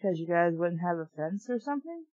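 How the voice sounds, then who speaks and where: A woman's voice: lowish voice, sing-song, a little fast, a little mellow. A woman reading a storybook aloud and breaking off into snarky, exasperated, profane commentary. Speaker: reader and book reviewer. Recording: dull, echoey room recording.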